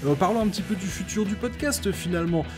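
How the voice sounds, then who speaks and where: A man's voice: sits high, expressive, fast, music in the background. A man hosting a solo podcast outdoors, talking casually and rambling with many fillers. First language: French. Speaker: podcast host.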